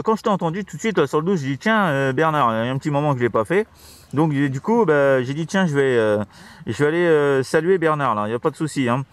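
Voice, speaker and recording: A man's voice: on the high side, dry recording. A man chatting casually as a CB radio operator, hesitant and repetitive, with constant tag words. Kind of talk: casual radio contact chat. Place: outdoors, crouched in grassy scrubland.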